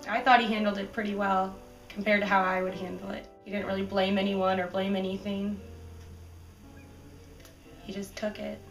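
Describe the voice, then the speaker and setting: A woman's voice: mid-range voice, little pitch movement, moderate pace, long pauses. Young woman, distant and roomy. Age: 20s. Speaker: interviewee. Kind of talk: documentary interview. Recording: roomy, distant mic with music.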